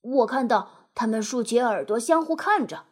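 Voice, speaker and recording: Boastful storybook mole played by a woman: high, animated, gleeful, self-satisfied. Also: moderate pace, slightly rough. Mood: boastful, excited.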